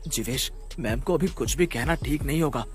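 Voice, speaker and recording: A man voicing a cocky, spoiled high-school student in a cartoon story, taunting and swaggering. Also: moderate pace, faint music behind, natural voice. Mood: vengeful, menacing. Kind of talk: animated story character acting.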